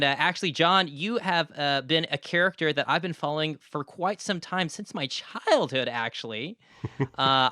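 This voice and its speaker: A man's voice: on the high side, bright, forceful tone, no echo. A man speaking as a podcast host and interviewer, presenting and asking questions in a studio. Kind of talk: scripted podcast intro and interview. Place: recording studio.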